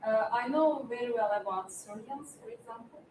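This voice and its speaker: A woman's voice: a little high, moderate pace, darkish tone. A woman in a casual group discussion, speaking in short, repeated phrases. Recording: echoey room, distant mic, background noise.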